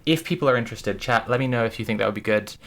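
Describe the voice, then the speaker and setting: A woman's voice: very deep voice, very fast. A woman streaming, talking casually and thoughtfully to her chat, with many restarts and fillers.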